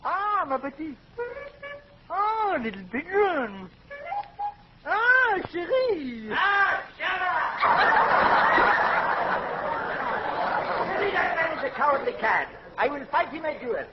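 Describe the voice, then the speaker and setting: A very high, swooping voice for a florid French count, full of melodrama and cowardly bluster. It is 1940s radio comedy with a French accent, delivered slowly on a dull old recording. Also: male voice, very animated, very noisy, heavily acted. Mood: melodramatic. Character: flamboyant, cowardly French count, gushing suitor.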